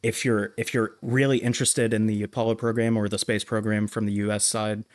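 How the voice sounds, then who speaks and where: Man, low voice, podcast co-host, casual movie talk, explanatory, measured and halting. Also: flat delivery.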